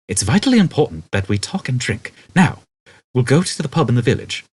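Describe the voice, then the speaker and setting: A man's voice: mid-range voice, sing-song, brisk, slightly rough, pitched above the performer's own voice. A man voicing an eccentric alien who poses as a human in an audiobook, intense, urgent and insistent.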